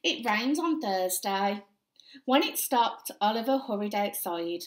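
A young woman reading a children's picture book aloud in an expressive, deliberate storytelling manner. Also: medium pitch, roomy sound. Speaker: primary school story time reader. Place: small indoor room with bare walls.

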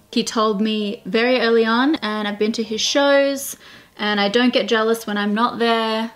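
A woman's voice: mid-range voice, a bit bright. A woman in her twenties with an Australian accent, chatting frankly and laughing while answering viewer questions beside her partner. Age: 20s. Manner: frank, laughing, quick and joking. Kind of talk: casual chat. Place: small indoor room in front of a plain wall.

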